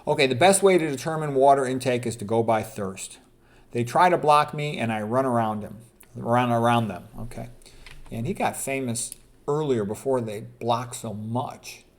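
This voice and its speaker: A man's voice: medium pitch, moderate pace. A man presenting as a physician, reading quotes aloud in an informal health lecture and adding emphatic, opinionated comments, repeating points for stress.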